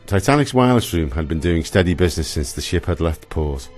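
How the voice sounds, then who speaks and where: A man's voice: deep voice, expressive, brisk, a little mellow, faint music behind. A man reading scripted historical narration for a radio play.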